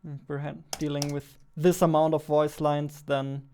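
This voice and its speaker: Slow, halting young man thinking aloud. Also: fairly high pitch, little pitch movement, a little mellow, a little hiss or hum. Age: 20s. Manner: halting, muttering to himself. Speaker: game developer, host of a devlog. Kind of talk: casual thinking aloud while coding. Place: indoors at a desk.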